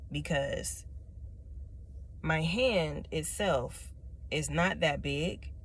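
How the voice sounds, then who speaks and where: Woman, very low rich voice; emphatic to-camera vlogger who repeats herself for emphasis. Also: slightly rough. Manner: emphatic, repeats points, tag questions. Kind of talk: direct-to-camera personal talk.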